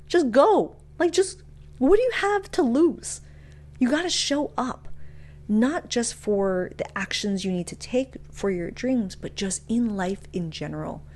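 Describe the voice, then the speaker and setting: A woman's voice: mid-range voice, a little mellow. A woman speaking as a motivational speaker, casual and frank, with emphatic delivery and slangy asides. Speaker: motivational speaker and podcast host. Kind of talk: motivational talk with personal anecdotes.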